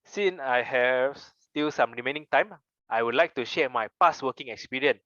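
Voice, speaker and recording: A man's voice: mid-range voice, normal speed, fairly bright tone, no echo, highs cut off. Earnest Malaysian man telling a story. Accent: Malaysian English. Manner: earnest storytelling with rhetorical questions. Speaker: club member giving a speech. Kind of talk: prepared personal speech.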